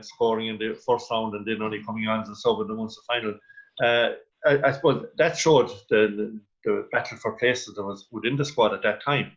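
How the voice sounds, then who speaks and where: A man with an Irish accent speaking in halting, deliberate phrases as a guest pundit in a radio sports discussion. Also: medium pitch, a bit bright. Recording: dull, band-limited radio call.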